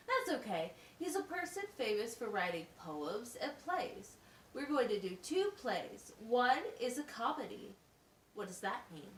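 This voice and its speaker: Puppet-show schoolteacher, played by a woman: patient, encouraging, animated intonation, explains step by step; echoey, distant recording. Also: a little high, measured pace. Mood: instructive, upbeat. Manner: instructional, simple step-by-step explaining with questions. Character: patient, encouraging female special education teacher at wizard school. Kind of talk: amateur puppet show acting. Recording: echoey, distant mic with faint noise.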